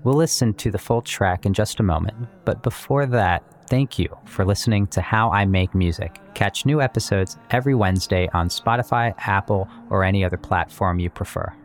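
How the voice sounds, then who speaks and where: A man's voice: lowish voice, moderate pace, hardly any pauses. A man presenting as a composer and musician, explaining his work in scripted but conversational podcast narration. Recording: music under the voice. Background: guitar and plucked string music.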